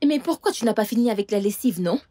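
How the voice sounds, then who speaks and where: A woman's voice: mid-range voice, lively intonation, fast talker, warm tone, close to an everyday voice. A woman playing a bossy aunt who scolds her niece, in a dubbed film. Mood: scolding. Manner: scolding, impatient.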